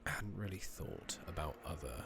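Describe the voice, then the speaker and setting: A soft-spoken British man giving casual, rambling ASMR commentary over a game, sometimes near a whisper, with hesitant pauses as he muses. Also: low-pitched, expressive, vocal fry. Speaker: streamer playing an online fantasy game. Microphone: close makeshift microphone. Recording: noisy, slightly loud close microphone.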